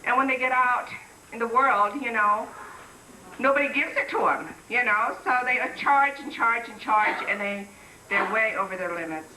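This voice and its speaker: A middle-aged woman from the Philippines, with a faint Filipino accent, speaks at a community symposium in an earnest, lecturing way, often checking in with "okay?". Her voice is bright. The recording is roomy and echoey, with the mic some distance away and a faint hiss behind her. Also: fairly high pitch, normal speed. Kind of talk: public talk at a community symposium. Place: community center hall, recorded from afar. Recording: echoey hall recording from far off.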